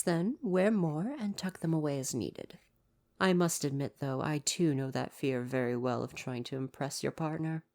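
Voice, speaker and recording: A man's voice: fairly high pitch, vocal fry, a little mellow. A man playing a devoted, proper royal butler in an ASMR roleplay, speaking to the listener in a formal, deferential, fussily attentive way.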